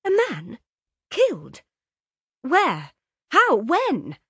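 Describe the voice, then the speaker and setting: A woman's voice: mid-range voice, sing-song, brisk, breathy edge, no room sound, clean studio sound, voice pushed up. A woman voicing a sensitive, well-bred young woman who speaks earnestly. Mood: alarmed. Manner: earnest, urgent questions.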